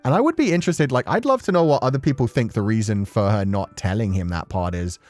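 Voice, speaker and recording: Low-voiced man giving game commentary. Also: lively intonation, fast talker, a little mellow, faint music behind. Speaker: streamer playing and reading a visual novel. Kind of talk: casual commentary on a story game.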